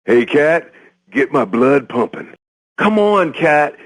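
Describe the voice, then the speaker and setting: A man playing a drawling male fan caller who pleads with the DJ in slow, drawn-out words. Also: on the high side, animated, no room sound. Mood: pleading. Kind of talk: scripted game voice lines.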